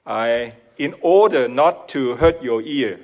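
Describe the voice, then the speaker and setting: Hong Kong-accented male preacher, native Cantonese speaker, modest and giggly, pauses often for his interpreter. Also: medium pitch. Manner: modest, with shy laughs between phrases. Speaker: guest preacher. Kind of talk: sermon delivered in short chunks for an interpreter.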